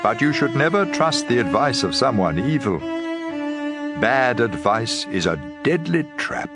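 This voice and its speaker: A man's voice: medium pitch, very animated, measured pace. A man giving a dramatized reading of scripture as an audio Bible reader. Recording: prominent background music. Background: music.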